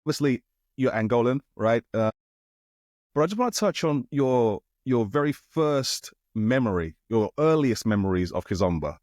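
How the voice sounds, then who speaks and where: A man's voice: medium pitch, expressive, normal speed, a little mellow, no room sound. Podcast host man on dry mic. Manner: friendly, leans on "you know" fillers. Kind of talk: casual podcast interview.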